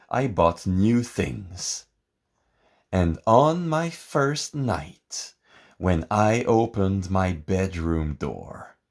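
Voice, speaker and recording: A man reading a picture book aloud in a theatrical, expressive and deliberate way, as scripted narration. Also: on the low side. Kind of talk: dramatic scripted storybook narration.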